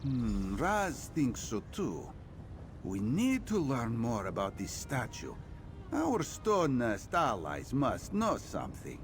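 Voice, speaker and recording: A slow, swooping cat-folk scout. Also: male voice, mid-range voice, wildly swooping pitch, slight background noise, full character voice. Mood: wry, cautious. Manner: theatrical, musing, refers to himself in third person. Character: sly, thoughtful cat-folk male scout, speaks of himself in third person. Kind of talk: video game character dialogue.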